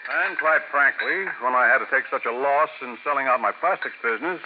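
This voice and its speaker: A man's voice: medium pitch, a little fast, natural voice. A cagey well-off husband, played by a man, who hesitates over every answer. There is faint hiss in the old broadcast. It sounds thin and tinny. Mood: evasive. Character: evasive wealthy husband.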